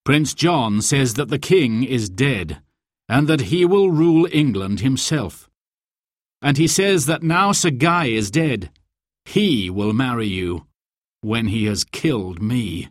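A man's voice: medium pitch, very animated, slow pace, dry recording, clean studio sound. A man voicing a brave, earnest young outlaw hero in a storybook adventure. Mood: earnest, worried.

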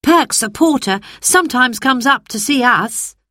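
A woman voicing an eager, bossy young boy in a storybook reading, with a brisk manner. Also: sits high, animated, bright, forceful tone, no echo, clean studio sound, voice pushed up, exaggerated voice. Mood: chatty.

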